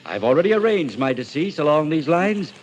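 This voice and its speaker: An actor playing a genteel, eccentric elderly gentleman in an old-time radio drama, speaking in an urbane, theatrical way. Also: fairly high pitch, very animated, brisk, lo-fi sound, a little hiss or hum. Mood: urbane, persuasive. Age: older.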